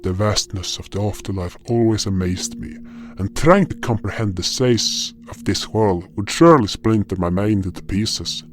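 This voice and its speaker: A man playing a solemn, dutiful guardian spirit, narrating his own fantasy tale in a measured first-person style. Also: fairly low pitch, lightly acted. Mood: solemn, reflective. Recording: guitar music under the voice.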